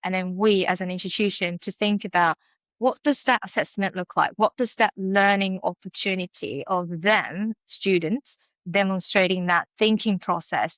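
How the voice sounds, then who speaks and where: A woman with a Japanese accent, a university dean speaking as a podcast guest in a thoughtful, measured, academic way. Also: fairly low pitch, fairly bright tone, dry recording, muffled recording. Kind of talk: podcast interview.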